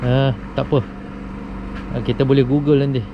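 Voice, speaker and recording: A man's voice: mid-range voice, flat delivery, moderate pace, lo-fi sound, background noise. A young man with a Malaysian Malay accent, chatting casually to viewers while fishing at night on a wooden sea platform, filmed on an action camera. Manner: upbeat, chatty, addresses viewers as guys. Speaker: fishing vlogger. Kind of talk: casual vlog commentary.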